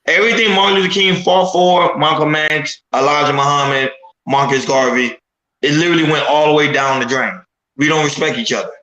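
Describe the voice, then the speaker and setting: A man of Haitian descent, emphatic and insistent, who circles back over his words to drive a point home. His voice is bright and forceful and sits a little high. The room around him rings with echo. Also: fairly even intonation, brisk. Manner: emphatic, repeats himself while building a point. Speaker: podcast panel host. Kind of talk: casual panel discussion.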